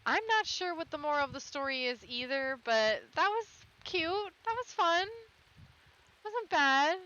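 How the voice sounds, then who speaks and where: A woman's voice: sits high, big pitch swings, a little slow, bright, forceful tone, dull audio. A woman who hosts a stream, reading storybook tales aloud and speaking casually to listeners. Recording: clearly noisy background with clicks.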